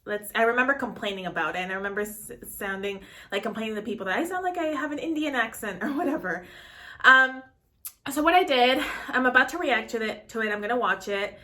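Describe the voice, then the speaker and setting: A woman's voice: fairly high pitch, lively intonation, fast talker, sharp tone. A woman in her late twenties, an actor, chatting nervously and rambling with many fillers in a casual reaction vlog.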